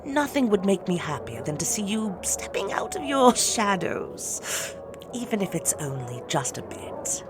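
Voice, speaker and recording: A woman's voice: medium pitch, big pitch swings, unhurried, over music. An actress playing a regal, doting and shrewd mother queen of the gods in an audio drama, speaking with formal, coaxing poise. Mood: tender, coaxing.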